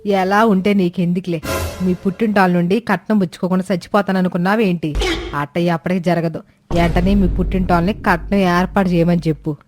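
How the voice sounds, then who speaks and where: A woman's voice: on the low side, steady tone, a little fast, soft background music, lightly acted. Cartoon mother-in-law: harsh, demanding, always scolding, voiced by a woman. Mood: scornful. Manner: sharp, taunting, demanding. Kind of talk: animated storybook voice acting.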